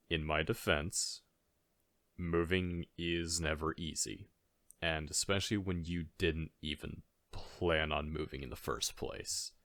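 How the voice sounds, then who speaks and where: A man playing a caring, goofy best friend in a soft-spoken ASMR audio roleplay, talking in a halting, gently chiding, conversational way. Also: extremely low pitch, creaky, no room sound, full character voice. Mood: casual, sheepish.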